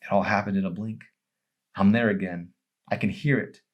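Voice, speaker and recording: A man voicing a tormented, withdrawn young man in an audiobook, halting and anguished with guilt. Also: lowish voice, steady tone, lo-fi sound, natural voice.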